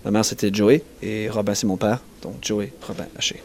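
A young man with an Acadian French accent, a singer-songwriter answering interview questions in a halting way, often restarting and searching for words. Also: lowish voice, fairly even intonation, vocal fry, a little mellow, slight background noise. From New Brunswick, Canada. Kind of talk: casual festival interview.

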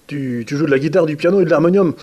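Fast-talking interviewer guy, slightly high but mellow voice, chatty, keeps checking back with tag questions. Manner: informal, adds tag questions.

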